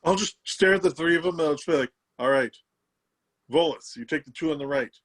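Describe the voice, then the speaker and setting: A man's voice: on the high side, a little fast, fairly bright tone. A man playing a tabletop game over a video call, joking and chatting casually. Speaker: player.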